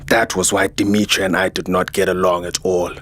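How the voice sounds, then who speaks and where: A man's voice: sits low, level tone, fairly quick, a bit bright. A man playing a jaded, vain warrior and security chief, narrating a science fiction audio drama with sardonic weariness. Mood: disdainful. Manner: sardonic, world-weary. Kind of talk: first-person scripted sci-fi narration.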